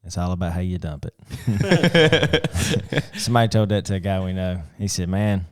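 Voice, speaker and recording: A man's voice: low-pitched, normal speed. A man co-hosting a casual chat, joking and full of folksy sayings. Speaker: podcast co-host.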